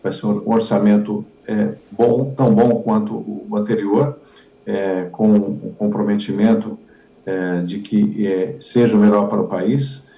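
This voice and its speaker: Male politician, low gravelly voice, halting and repetitive answers, dull echoey recording. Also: little pitch movement, dark tone. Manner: halting, repetitive, many fillers. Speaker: politician interviewee. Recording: dull echoey room audio.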